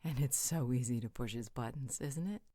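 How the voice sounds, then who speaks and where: A woman's voice: rumbling low, normal speed, mellow, dry recording. A woman playing a playful, caring member of a supernatural pack in a roleplay audio drama, teasing and reassuring. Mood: amused, knowing.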